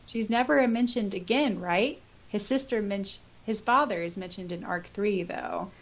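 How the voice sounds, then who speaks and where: Female podcast co-host, mid-pitched, casual and animated, giggly, comfortable reading comments aloud and adding loose asides. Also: unhurried. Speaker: podcast co-host and audiobook reader.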